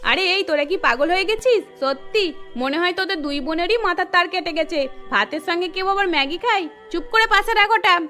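A woman acts out a fed-up middle-aged mother for a cartoon. She nags fretfully in a high voice. Soft music runs underneath. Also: brisk. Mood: exasperated. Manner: nagging, fretful. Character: exasperated middle-aged mother of two daughters. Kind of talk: children's cartoon voice acting.

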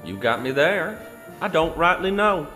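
An actor playing a rustic bootlegger underling, confessing in an audio drama. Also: male voice, a little high, lively intonation, unhurried, sharp tone. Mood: submissive, confessing. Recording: long hall reverb, faint music.

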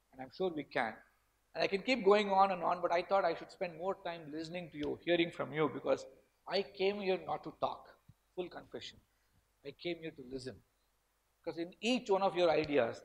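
Passionate Indian man with a bright, high voice. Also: gravelly, low-quality recording. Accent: Indian English, Karnataka. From India, from Karnataka. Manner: passionate, emphatic, rises and falls in loudness, gestures while speaking. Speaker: guest lecturer, professor. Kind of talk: institute lecture to students. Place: large lecture hall, on stage.

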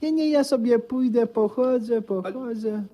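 An actor in a 1950s Polish radio comedy playing a shy, self-effacing provincial guest who hesitates and apologetically repeats himself. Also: male voice, very high-pitched, measured pace, mellow, highs cut off. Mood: apologetic refusal.

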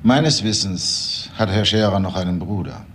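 A formal male notary in a radio crime drama, with a very low voice in an echoey room. He is reserved and terse. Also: normal speed, fairly bright tone. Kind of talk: German crime radio play acting. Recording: echoey room with faint noise.

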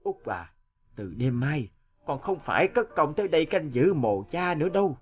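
Slow, tender old father. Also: fairly high pitch, sing-song, highs cut off. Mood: tender, solemn. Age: older. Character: gentle dying old father ghost, blessing his youngest son. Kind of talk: cartoon fairy tale dubbing.

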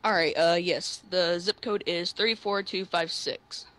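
A man's voice: high voice, steady tone, a little slow, fairly bright tone. A young man on a call, answering politely and deferentially as a customer. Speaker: prank caller. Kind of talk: polite phone conversation. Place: online voice call.

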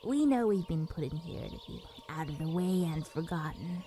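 An actress playing a kindly, wistful elderly retirement home resident in an audio drama, gentle and genteel. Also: female voice, fairly low pitch, expressive, moderate pace, natural voice. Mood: flattered, wistful. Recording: faint noise, birdsong behind.

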